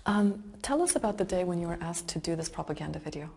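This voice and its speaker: Female reporter; mellow, lower-pitched voice, composed delivery for narration and questions. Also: lively intonation, fast, gravelly, husky. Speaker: journalist. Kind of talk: news narration and interview questions.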